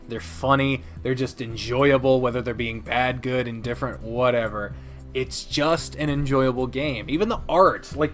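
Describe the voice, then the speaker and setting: A man's voice: fairly high pitch, a bit bright. A man hosting a gaming channel, giving casual, opinionated commentary with emphatic delivery and frequent swearing. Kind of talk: casual ranked-list video commentary.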